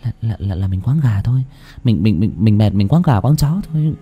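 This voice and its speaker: A man voicing a terrified young wife who stammers in shrill panic. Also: expressive, fairly quick, husky, highs cut off. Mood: shaken muttering.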